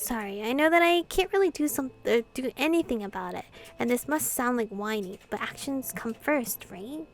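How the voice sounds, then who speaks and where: A woman voicing an earnest, soft-hearted young policewoman in a visual novel, hesitant and heartfelt. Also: fairly high pitch, lively intonation, moderate pace, hardly any pauses. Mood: earnest, downcast.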